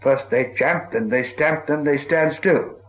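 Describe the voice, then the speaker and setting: A man's voice: a little high, a little slow, dull audio, pitched above the performer's own voice, close to an everyday voice. A man voicing a frightened, polite small hobbit who is anxious to agree.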